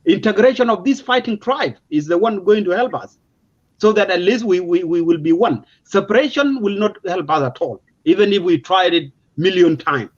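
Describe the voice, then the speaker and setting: A man's voice: high-pitched, expressive, normal speed. A man with a South Sudanese accent giving an unscripted, emphatic explanation in a political discussion, often repeating his words. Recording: dull, lo-fi audio.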